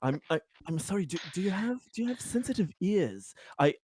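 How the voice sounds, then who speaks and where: A man's voice: high-pitched, big pitch swings, a little fast, noisy background. A man playing a chatty, over-polite, needling character in a tabletop roleplay, rambling and stammering. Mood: mock concern.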